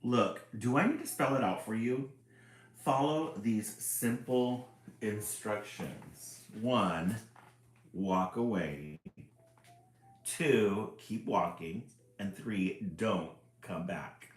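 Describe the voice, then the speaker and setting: A man voicing a cranky, fed-up talking children's book that orders readers to go away, in a gruff, bossy way. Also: on the low side, takes it slowly, echoey room. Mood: stern.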